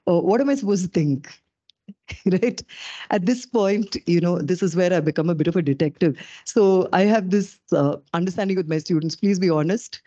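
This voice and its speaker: A middle-aged woman with a low-pitched voice gives an animated, self-deprecating public lecture into a podium microphone. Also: moderate pace, no room sound, dull audio. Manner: animated, self-deprecating, gestures while talking. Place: dark auditorium stage.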